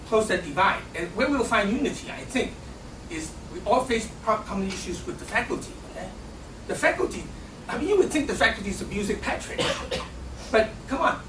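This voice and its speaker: High-voiced man. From Hong Kong. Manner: punctuates nearly every phrase with a questioning "okay?". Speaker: student election candidate. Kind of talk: campaign debate speech. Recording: echoey, distant, noisy room recording.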